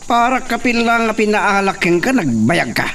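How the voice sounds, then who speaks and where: An actor playing a spoiled, bad-tempered young master in an Ilocano radio drama, whiny and bossy. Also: male voice, high-pitched, very animated, moderate pace, fairly bright tone, heavily acted. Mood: irritated shouting. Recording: distant mic, faint cricket noise.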